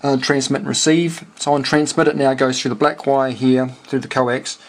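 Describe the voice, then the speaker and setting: A man with a New Zealand accent talking casually and unscripted in a halting, rambling way, as a hobbyist thinking aloud. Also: mid-range voice, flat delivery. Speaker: amateur radio operator and homebrew electronics hobbyist. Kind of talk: casual unscripted technical walkthrough.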